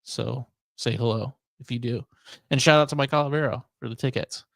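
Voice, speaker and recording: Male podcast host, mid pitch, wry and rambling conversational delivery, dry room sound. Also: a little fast, gravelly, dry recording. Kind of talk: casual unscripted podcast chat.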